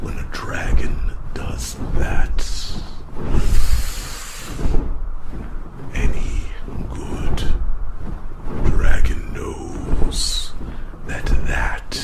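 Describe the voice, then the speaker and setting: A man voicing a huge, proud, fierce dragon in a fantasy roleplay, speaking gravely to a human in halting, growling, gravelly phrases. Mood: grave, defiant. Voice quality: gravelly, growling, breathy rasp. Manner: slow, grave, halting dramatic delivery. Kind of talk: ASMR fantasy roleplay monologue. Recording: noisy, steady rushing ambience behind voice.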